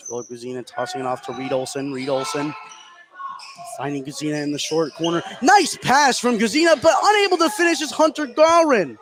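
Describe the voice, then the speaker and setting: An American man calling live basketball play-by-play on the radio, getting excitable on big plays. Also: on the high side, a little fast, a crowd in the background. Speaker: sports radio play-by-play announcer. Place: indoor high school basketball game. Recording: noisy live gym broadcast.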